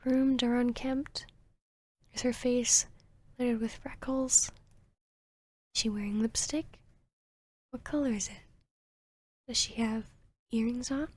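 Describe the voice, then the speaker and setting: A woman acts out an arrogant female vampire posing as a therapist in an intimate ASMR roleplay. Her voice is a little high and very breathy, often sinking toward a whisper. She speaks slowly and soothingly, with a teasing, hypnotic authority. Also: fairly even intonation, darkish tone, rough recording. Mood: soothing, probing. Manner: slow, soothing, commanding, teasing.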